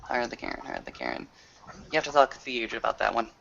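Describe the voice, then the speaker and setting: Male tabletop roleplayer, forceful and colloquial, swears casually, dull noisy home recording. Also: mid-range voice, big pitch swings, quick pace, gravelly. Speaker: game master. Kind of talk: in-character tabletop roleplay with narration. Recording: muffled audio with noticeable background hiss.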